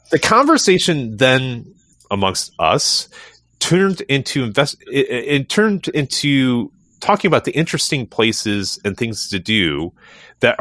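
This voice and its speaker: A man's voice: fairly low pitch, a bit bright, no echo. A man hosting a casual travel podcast, talking in an upbeat way with some fillers. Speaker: podcast host.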